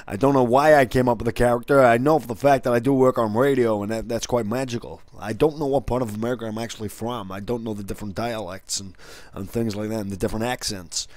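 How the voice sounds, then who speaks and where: A man's voice: mid-range voice, fairly even intonation, quick pace, hardly any pauses, no echo. A man with a Welsh accent, explaining things casually as an online video creator.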